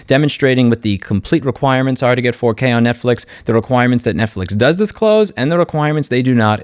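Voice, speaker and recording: Fast-talking, sardonic man. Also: medium pitch, a little mellow, no room sound, studio quality. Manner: blunt, sardonic, opinionated. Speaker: video host, consumer rights commentator. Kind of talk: informal commentary to camera.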